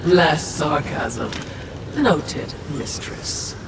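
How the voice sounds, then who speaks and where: Slow, sly female servant creature. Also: deep voice, lively intonation, creaky, very noisy, exaggerated voice. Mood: obedient. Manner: sarcastic, fawning, needling. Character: sly, sardonic servant creature bound to its mistress. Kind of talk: video game cutscene acting.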